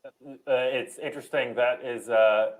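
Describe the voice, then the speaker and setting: A man's voice: medium pitch, fairly even intonation, measured pace, rough recording. A young man casually moderating a panel discussion on a video call. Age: young adult. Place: home room, video call.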